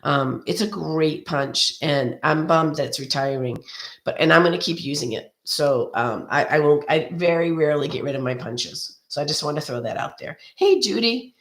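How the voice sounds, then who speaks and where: A hoarse woman hosting a chatty live card-making tutorial, rambling in a friendly way with many ums and restarts as she greets viewers by name. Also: deep voice, animated, normal speed. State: hoarse from a cold. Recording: roomy echo.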